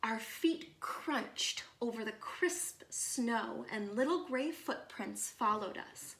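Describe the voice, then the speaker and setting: A woman reads a picture book aloud to children in a slightly high voice. Her delivery is slow, expressive and measured, with deliberate pauses. The room adds a noticeable echo. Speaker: read-aloud storyteller.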